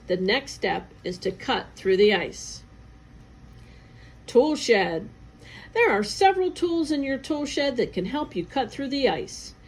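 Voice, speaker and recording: Lady reading a kids educational piece out loud, highish voice, really slow and careful, lots of up and down. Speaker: educational narrator, agency staff reader. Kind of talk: scripted read-aloud of a children's educational article.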